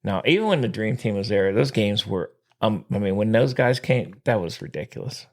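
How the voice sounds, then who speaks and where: Gravelly man with a lively voice. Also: fairly low pitch, moderate pace. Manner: rambling, trails off and restarts, laughs often. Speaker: podcast co-host. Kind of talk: casual chat.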